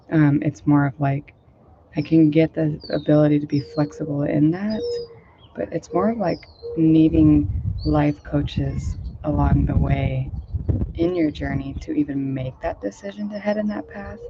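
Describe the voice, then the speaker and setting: A woman meditation teacher, a little hoarse today, talking casually and rambling with many fillers before leading a guided meditation outdoors. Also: low-pitched, dull audio, noisy background. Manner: rambling, filler-heavy, gentle. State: slightly hoarse, low voice.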